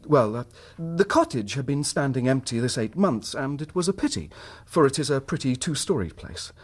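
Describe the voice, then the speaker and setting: A man's voice: medium pitch, very animated, normal speed, slightly rough. An actor playing an earnest, troubled middle-class English husband telling his story in formal period language for a radio drama. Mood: earnest, reflective.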